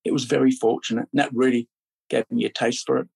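A middle-aged man born in Scotland and raised in Australia, a podcast guest chatting in a relaxed, rambling way with many fillers. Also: mid-range voice, fast, creaky, husky. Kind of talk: casual interview chat.

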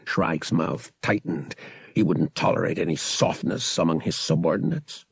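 A man's voice: low voice, animated, moderate pace, creaky, husky, no echo, studio quality. A man reading an adventure novel as an audiobook narrator, in dramatic scripted narration.

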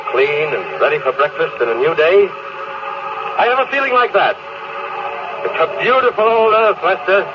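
An actor playing a doomed spaceship captain, regretful and resigned, in a wistful, confessional 1950s radio drama style. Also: male voice, high voice, very animated, normal speed, pauses often, over music. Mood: serene wonder. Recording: noisy muffled vintage radio recording.

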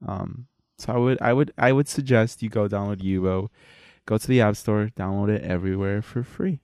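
Low-voiced young man chatting casually. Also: normal speed, a little mellow, no room sound, clean studio sound. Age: young adult. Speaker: podcast host. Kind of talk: casual chat.

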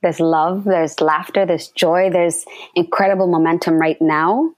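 A woman in her late twenties, born in Somalia and raised in Canada, speaking calmly and reflectively as a guest on a remote call. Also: on the low side, a little slow, no room sound. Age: late 20s. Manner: reflective, calm, occasional ums. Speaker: guest, activist. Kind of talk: casual interview.

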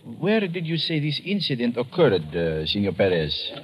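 Courteous plantation owner, old radio play, very lively intonation, faint noise. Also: male voice, medium pitch, moderate pace, lo-fi sound. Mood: gracious, measured. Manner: formal, courteous. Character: gracious, courteous Latin American plantation owner. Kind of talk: old radio drama acting.

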